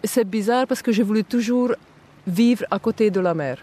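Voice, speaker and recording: A woman's voice: mid-range voice, moderate pace, dark tone. Woman searching for words. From southern Hungary. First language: Hungarian. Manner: hesitant, with many fillers, searching for words. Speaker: interview guest. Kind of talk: casual interview talk.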